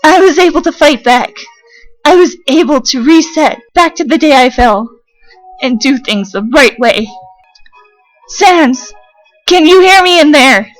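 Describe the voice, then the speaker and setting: A woman voicing an earnest, solemn child in a fan comic dub, speaking deliberately with pauses. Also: sits high, expressive, poor audio. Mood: remorseful, determined. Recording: music under the voice.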